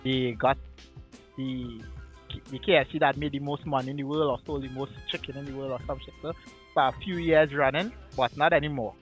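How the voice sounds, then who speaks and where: A man's voice: mid-range voice, fairly quick, soft background music. A man from Trinidad with a Trinidadian accent, teasing friends in a casual podcast chat. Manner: teasing, animated. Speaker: podcast co-host.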